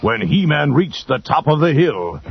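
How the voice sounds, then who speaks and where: A man's voice: a little high, very animated, unhurried, creaky, slightly rough, highs cut off. A man giving dramatic scripted storybook narration. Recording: band-limited old readalong tape with music.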